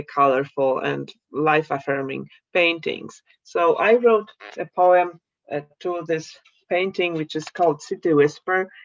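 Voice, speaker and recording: A woman from Poland with a Polish accent, reading her poems slowly and introducing them in a measured way on a video call from her home. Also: low-pitched, lo-fi sound. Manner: slow, measured reading of verse. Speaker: poet. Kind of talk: poetry reading with spoken introductions. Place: room at home.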